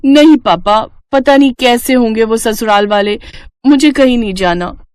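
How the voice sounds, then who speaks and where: A woman's voice: a little high, moderate pace, a little mellow, clean tone, no room sound, studio quality, pitched above the performer's own voice, natural voice. A woman voicing a playful young Indian daughter who teases and pleads with her parents in a story. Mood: protesting. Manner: pert, pleading, teasing. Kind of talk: animated Hindi moral story dialogue.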